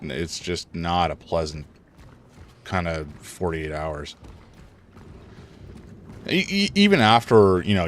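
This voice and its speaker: A man live-streaming a horror game, rambling through his commentary, chatting with viewers, and reacting loudly to scares, a little congested. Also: deep voice, slight background noise. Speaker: gaming streamer.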